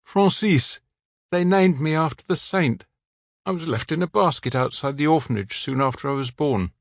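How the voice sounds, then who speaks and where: A man reading the part of a weary, apologetic man in his forties who speaks quietly and plainly. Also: a little high, normal speed, creaky, no room sound, studio quality, pitched above the performer's own voice. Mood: resigned.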